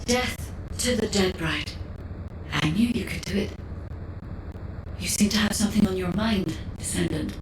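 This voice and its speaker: A woman voicing a calm female protector AI program that speaks in a gentle, measured, reassuring way. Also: mid-range voice, natural voice. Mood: earnest. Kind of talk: video game cutscene voice acting. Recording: echoey, distant, noisy backdrop.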